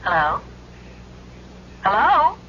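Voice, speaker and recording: Wary, sharp, curt woman. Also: mid-range voice, wildly swooping pitch, very slow, heavily acted. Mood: suspicious. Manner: guarded, clipped. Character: wary, curt young female librarian on the phone. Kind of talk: 1970s radio drama acting. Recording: dull telephone sound, faintly hissy radio recording.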